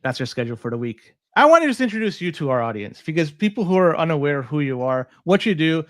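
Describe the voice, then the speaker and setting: A man's voice: fairly high pitch, very animated, fast talker, a bit bright, no echo, studio quality. A man hosting a podcast, chatting casually and reading sponsor spots in an upbeat, salesy way. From Canada. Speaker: podcast host. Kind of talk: casual podcast talk and live sponsor reads.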